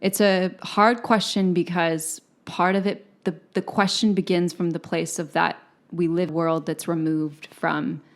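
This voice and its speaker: A woman from Malaysia with a slightly low voice talks as a podcast guest. She reflects slowly on her ideas, peppering her speech with "like" and "right?" in a loose, conversational interview. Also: level tone. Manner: reflective, frequent "like" and "right?" tags. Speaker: podcast guest, psychic intuitive.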